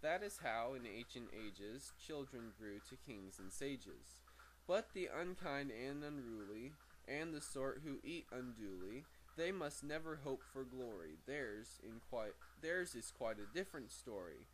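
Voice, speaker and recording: A man's voice: mid-range voice, animated, a little slow, a little hiss or hum, rough recording. A man reading aloud from a book as an amateur narrator, stumbling over words, correcting himself and dropping in casual asides. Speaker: amateur audiobook reader.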